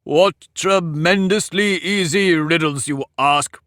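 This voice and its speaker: A man's voice: high voice, big pitch swings, unhurried, fairly bright tone, dry recording, studio quality, pitched above the performer's own voice, full character voice. A man voicing a pompous, touchy talking egg in a storybook reading, boastful and argumentative. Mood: haughty. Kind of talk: audiobook character reading.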